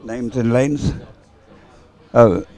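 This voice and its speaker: A man's voice: medium pitch, drawn-out words, a little mellow, faint music behind. A man giving live, unscripted sports commentary on a race in an informal, hesitant way, as if thinking aloud.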